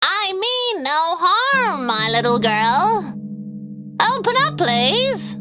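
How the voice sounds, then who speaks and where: A woman voicing a wicked queen disguised as a sweet, pleading old beggar woman in an animated fairy tale, with wheedling, drawn-out delivery. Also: very high-pitched, voice pushed up, exaggerated voice.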